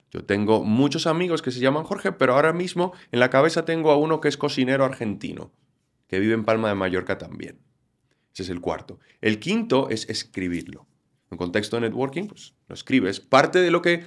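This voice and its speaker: A Dominican man with a Galician-tinged Spanish accent, explaining things in a lively way as a guest on an interview podcast. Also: medium pitch. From Dominican Republic. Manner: animated, explanatory, acts out quotes. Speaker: communication trainer, guest.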